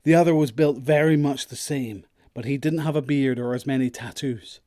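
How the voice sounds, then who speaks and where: A man's voice: mid-range voice, moderate pace, mellow, no room sound, studio quality. A man narrating a scripted audio drama story.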